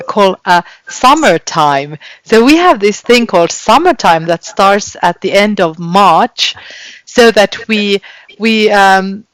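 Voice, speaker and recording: A woman whose Finnish accent carries through her careful English, talking patiently like the school teacher she is. She speaks over a video call from her kitchen in a dry, close sound with no room ring. Her pitch sits in an ordinary middle range, and she often pauses on "like" to find her words. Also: no echo, poor audio. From Finland. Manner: patient, explanatory, frequent "like" fillers and restarts. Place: home kitchen.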